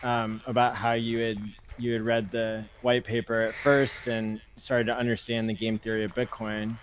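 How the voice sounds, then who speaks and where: A man hosting a podcast who talks in a measured way and prompts his guest with questions. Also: fairly low pitch, little pitch movement, clean tone. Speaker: podcast host. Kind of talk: casual discussion. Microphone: studio microphone.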